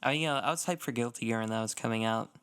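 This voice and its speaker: Smooth, clear-voiced young man chatting casually. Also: medium pitch, very fast, fairly bright tone. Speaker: podcast co-host. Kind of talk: casual gaming podcast chat.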